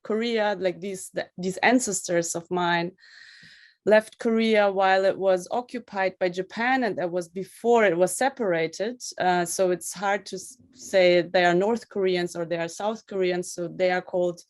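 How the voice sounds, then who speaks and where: Lower-voiced woman on a video call. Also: normal speed. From Russia, raised in Ukraine. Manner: thoughtful, explains at length, searches for words. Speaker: film director guest. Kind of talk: remote interview answers.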